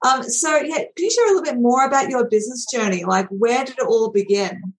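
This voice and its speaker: A woman's voice: fairly high pitch, expressive, a little fast, rough recording. A woman hosting a podcast interview, chatty and affirming, with frequent fillers as she responds to her guest. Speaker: podcast host.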